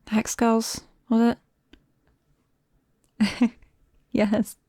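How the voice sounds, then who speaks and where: A British woman playing a shy, nervous vampire best friend in an ASMR roleplay, speaking softly and haltingly and often slipping into a whisper. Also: on the high side, little pitch movement, no room sound, studio quality, exaggerated voice. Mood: teasing, fond. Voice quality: soft, breathy, often dropping to a whisper. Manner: hesitant, bashful, halting.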